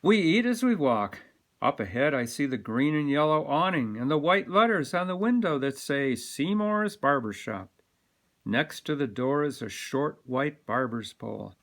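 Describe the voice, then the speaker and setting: A man reads a picture book aloud to young children. His voice is bright and swings widely in pitch, with deliberate, expressive phrasing. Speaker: volunteer story reader. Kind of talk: read-aloud children's storytelling.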